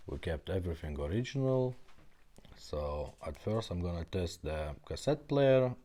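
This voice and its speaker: Deep-voiced man demonstrating a product. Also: very animated, unhurried, dark tone. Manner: simple step-by-step instructions. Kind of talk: casual hands-on product demo.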